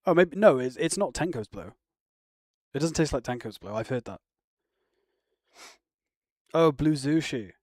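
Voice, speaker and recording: A man's voice: a little high, expressive, measured pace, pauses often, no echo, studio quality. A man chatting casually on a live stream, rambling and answering viewer comments. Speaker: live streamer reacting to chat. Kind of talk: casual chat.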